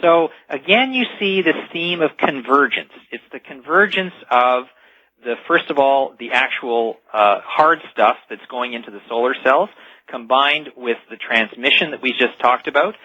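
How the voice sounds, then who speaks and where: A man is being interviewed as an expert on a radio show. His voice has a tense, bright edge and some rasp as he lays out his explanation emphatically. The sound is dry and slightly dull, like a lo-fi broadcast. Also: mid-range voice, moderate pace, dry recording.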